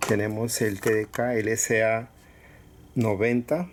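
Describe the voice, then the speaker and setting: A man casually presenting items to viewers in short, halting phrases with pauses. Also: fairly low pitch, little pitch movement. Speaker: collector showing cassettes for sale. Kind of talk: casual product showcase. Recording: clear background noise with clicks.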